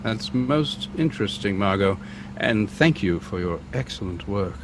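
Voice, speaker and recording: An actor playing a calm, suave, authoritative amateur detective in a radio drama, measured and formal, with noise on the recording. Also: male voice, on the low side. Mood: cool, intrigued. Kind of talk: old-time radio drama acting.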